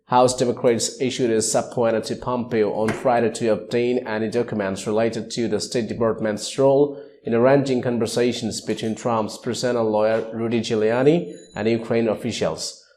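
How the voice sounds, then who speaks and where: A male news reader with a dark, relaxed tone and a mid-range pitch. He delivers scripted news reports as narration. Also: moderate pace, few pauses.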